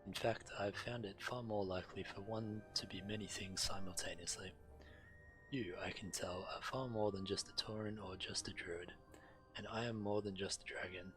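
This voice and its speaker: A man who streams games, reading fantasy quest dialogue aloud in character with a measured storyteller delivery. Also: on the low side, steady tone, a bit bright, soft background music, poor audio. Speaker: game streamer.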